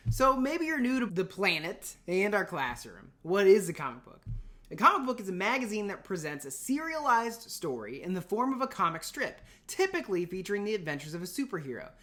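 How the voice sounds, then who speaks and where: Animated, chatty woman teacher. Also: mid-range voice, fairly quick. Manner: chatty, playful, emphatic asides. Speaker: middle school language and literature teacher. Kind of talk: informal scripted video lesson.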